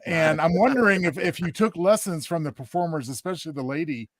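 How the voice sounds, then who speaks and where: A man's voice: a little high, a little fast, a crowd in the background. A man chatting casually and jokingly as a panelist on a show.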